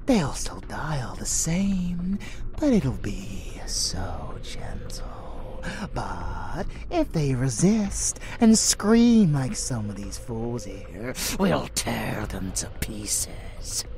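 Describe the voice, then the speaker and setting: A woman voicing an unhinged, rebellious male vampire lover in an ASMR roleplay, teasing and menacing in a breathy, drawling whisper. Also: a bass voice, background noise, full character voice.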